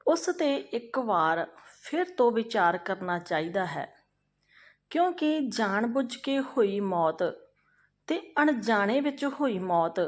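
A woman's voice: a little high, heavily acted. A woman storyteller voicing a merciful, reasoning male royal minister making a respectful, earnest appeal in a folk tale.

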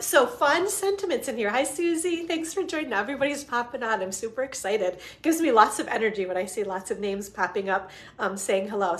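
A woman in her forties, a bit hoarse from a cold, chattily demonstrating craft supplies on a home live stream and greeting viewers by name. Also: fairly high pitch. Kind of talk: casual live-stream demo. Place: living room at home.

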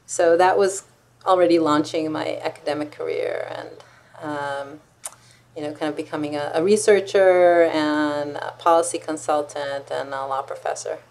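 A woman's voice: deep voice, fairly even intonation, normal speed, vocal fry, a little mellow. A middle-aged woman from Israel, a law professor and author, explaining her thoughts conversationally in an interview on a video call. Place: office, indoors, on video call. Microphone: video call computer microphone.

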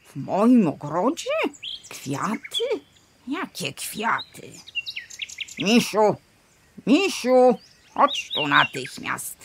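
Gravelly stern mother bear. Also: mid-range voice, big pitch swings, exaggerated voice. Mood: indignant. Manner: slow, scolding then tender. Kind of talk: children's radio play acting. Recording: noisy background.

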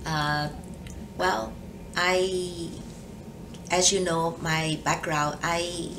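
Older woman, Vietnamese accent, low voice, slow and careful, distant mic, room noise. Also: fairly even intonation. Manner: careful, hesitant, many ums. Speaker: judge. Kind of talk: oral history interview. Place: office with leather chair.

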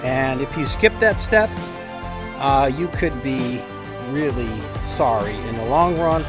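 A man's voice: medium pitch, lively intonation, slow pace, over music. A man in his fifties giving a casual, plain-spoken instructional walkthrough as a vlog host, recorded outdoors on a handheld camera. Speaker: vlog host showing a home build. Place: outdoors at a concrete foundation construction site. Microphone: handheld camera at arm's length.

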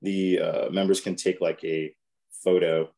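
Brief: man with a very deep voice presenting on a video call, hesitant, lots of ums and restarts. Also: little pitch movement, measured pace, creaky, mellow. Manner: hesitant, many fillers and restarts. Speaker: test prep presenter.

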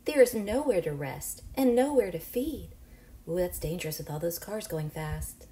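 A woman in her forties reading aloud to young children in an animated, questioning, teacherly way. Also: lowish voice, moderate pace, echoey room, slight background noise. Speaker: children's storybook reader. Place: small home room, indoors.

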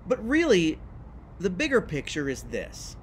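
A middle-aged man teaches church history straight to camera, pressing each point with emphasis. His voice sits a little high and swings widely in pitch. Faint outdoor ambience sits behind him on the porch. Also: unhurried, a little hiss or hum. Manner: emphatic, lively, gesturing with his hands. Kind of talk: scripted video lesson to camera.

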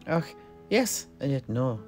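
A man's voice: medium pitch, very animated, slow pace, soft background music. A man voicing a genial, hesitant alchemist and truthsayer telling fantasy lore in character, chatty and given to exclaiming. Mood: storytelling.

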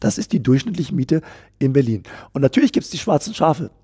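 A man being interviewed about policy, answering at length in a measured way, often repeating phrases and using fillers. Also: medium pitch, very animated, warm tone, slightly rough. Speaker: politician guest. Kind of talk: political interview.

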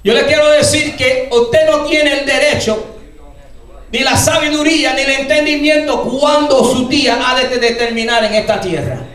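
An older man preaching a fervent sermon as pastor, often shouting and filling his speech with hallelujahs. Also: very high voice, moderate pace. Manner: gestures broadly while preaching at a lectern. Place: church sanctuary, indoors, medium-sized. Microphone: handheld mic, filmed by a far camera. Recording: very echoey church hall, distant camera.

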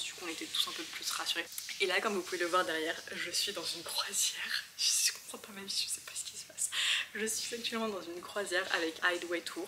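Female travel vlogger, twenties: rapid, informal and talkative, recorded on location with clear ambient noise. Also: fairly low pitch. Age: 20s. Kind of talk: casual vlog chat. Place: on location while travelling: street, bus, boat, outdoors by water.

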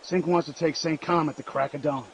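An actor playing a tough, no-nonsense American paratrooper sergeant, giving orders in a gravelly, blunt, commanding way. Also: male voice, a little high, fairly even intonation, a little fast, slight background noise, natural voice. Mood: urgent, subdued.

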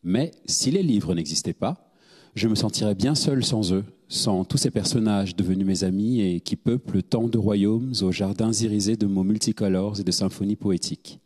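A young man reading short texts aloud in a calm, measured way, into a handheld mic in a studio. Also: fairly low pitch, slightly rough, in a large hall. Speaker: actor reading dedications. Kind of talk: read-aloud. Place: small studio set.

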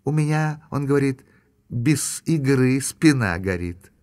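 A man voicing a small, quick and clever young mouse in a children's audio storybook, with a playful, bouncy delivery. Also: mid-range voice, expressive, darkish tone, natural voice.

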